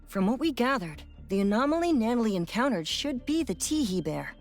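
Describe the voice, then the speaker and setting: A woman's voice: on the high side, very animated, brisk, soft background music. A woman playing a thoughtful, knowledgeable lore expert in a video game, precise and explanatory. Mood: informative.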